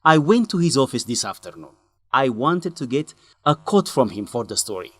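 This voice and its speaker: An actor playing an earnest male journalist in a radio drama, speaking expressively in simple, deliberate sentences. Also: medium pitch, sing-song, dry recording. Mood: serious, recounting. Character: earnest young male journalist breaking bad news to a friend. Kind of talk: English-learning radio drama acting.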